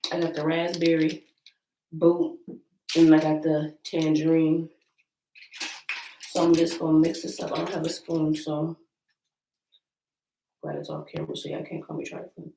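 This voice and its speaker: An American woman with a deep voice roughened by a cold, talking informally to viewers as host of a Bible-reading vlog. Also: a little mellow, slight background noise. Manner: informal, chatty, addressing viewers as y'all. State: getting over sickness. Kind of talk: casual chat and reading scripture aloud. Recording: roomy echo, distant microphone.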